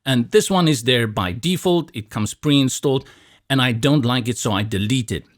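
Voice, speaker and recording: A man from South Africa, Afrikaans his first language, with a South African accent, calmly talking through a software tutorial step by step with a bit of light humor. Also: mid-range voice, normal speed. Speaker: tutorial instructor. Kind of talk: screen-recorded software tutorial.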